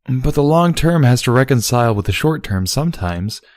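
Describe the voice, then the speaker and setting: An anxious, formal elder pony princess from a storybook, acted by a man. The voice sits higher than the reader's own and sounds fretful and troubled by conscience. The recording is dry. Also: expressive, moderate pace, a little mellow, no echo, close to an everyday voice. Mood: conceding. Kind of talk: dramatised storybook reading.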